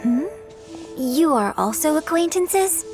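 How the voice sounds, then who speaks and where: A refined young opera lady from an anime game. Her voice is fairly high, and she talks in formal, gracious, courteous phrases. Faint music plays behind her. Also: animated, takes it slowly, heavily acted. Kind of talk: anime-style video game voice acting.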